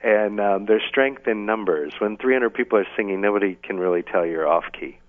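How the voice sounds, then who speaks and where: A man being interviewed casually on the radio as an event organiser, explaining things in a halting way over a phone line. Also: on the low side. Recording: thin, band-limited phone line.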